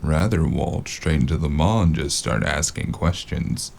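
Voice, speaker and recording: An actor playing a weary, sardonic noir investigator in an audio drama, gravelly and dryly deadpan. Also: male voice, rumbling low, a little slow, a little hiss or hum, full character voice. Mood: wry. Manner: dry, understated deadpan.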